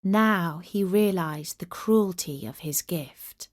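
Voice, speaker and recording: A woman narrating a scripted children's story as its host, expressive and carefully phrased. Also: fairly low pitch, slow.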